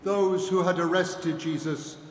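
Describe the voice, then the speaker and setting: A man's voice: a little high, steady tone, measured pace, a little hiss or hum. A man giving a solemn narration of the Gospel. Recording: echoey church with long reverb.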